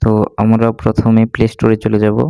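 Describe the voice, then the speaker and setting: Male tutorial host, very even intonation, soft dark tone, instructional step-by-step delivery. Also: lowish voice, fast talker, smooth, dry recording. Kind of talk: step-by-step screen tutorial narration.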